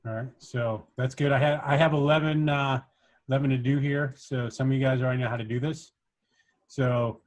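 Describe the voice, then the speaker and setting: A man instructing a workshop, explaining things step by step in an informal, halting way as he shares his screen. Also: mid-range voice. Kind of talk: casual screen-share coding tutorial.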